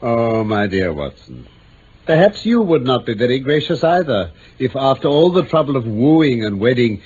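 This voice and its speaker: A man's voice: mid-range voice, very animated, normal speed, a little mellow, close to an everyday voice. Seeking a man to play a courteous, self-assured British detective for old radio drama: swooping intonation, precise explanations, faint hiss. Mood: wry, forgiving. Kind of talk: 1950s-60s BBC radio drama acting. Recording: dull vintage radio recording, faint noise.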